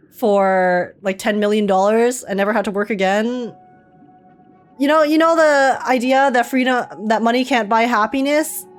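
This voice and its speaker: A woman giving casual, slangy, joking reaction commentary as a game streamer. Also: a little high. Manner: slangy, joking, frequently chuckling.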